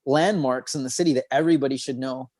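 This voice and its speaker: A man, a memory expert, explaining his ideas in a podcast interview in an earnest, clear way. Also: medium pitch, fast, darkish tone, no room sound, clean studio sound.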